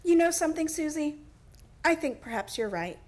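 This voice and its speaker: A woman playing a gentle, reassuring mother in a radio play. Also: high voice, expressive, moderate pace.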